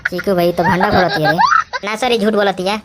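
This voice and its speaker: A woman performs a cheeky schoolboy from a cartoon comedy, speaking in a medium-pitched voice with a Bhojpuri accent. The boy is argumentative and brash, answering back in loose, colloquial speech. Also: steady tone, a little fast, very noisy, natural voice. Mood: indignant protesting. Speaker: voice actor.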